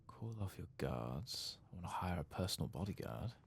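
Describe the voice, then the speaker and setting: Very deep, creaky, soft-spoken man. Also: big pitch swings, normal speed, a little hiss or hum. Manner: casual, muttering to himself, thinking aloud, mild swearing. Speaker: gaming video host. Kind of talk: soft-spoken ASMR playthrough commentary, partly whispered.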